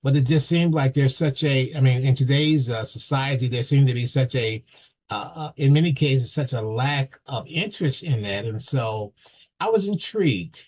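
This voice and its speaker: Male talk-show host, unhurried and halting, slightly husky, recorded on muffled lo-fi audio. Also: medium pitch, darkish tone. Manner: halting, many fillers and restarts. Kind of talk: casual interview.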